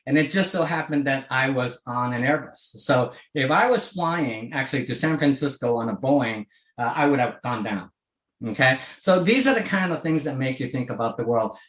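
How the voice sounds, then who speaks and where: A man's voice: a little high, echoey room. A man in his sixties from Hong Kong giving a conversational talk to an online audience, often checking in with "okay?". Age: 60s. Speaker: speaker. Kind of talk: presentation to a virtual audience. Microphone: video call.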